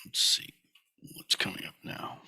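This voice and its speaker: A man teaching a class over slides, a little hoarse from a cold, halting with many fillers and restarts. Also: sharp tone, no room sound. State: recovering from a cold, slightly hoarse.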